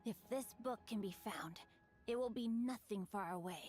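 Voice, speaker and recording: A woman voicing a courteous, bookish young gentleman in a video game, speaking in a formal, flowery, eager way. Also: medium pitch, moderate pace, lightly acted. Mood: earnest.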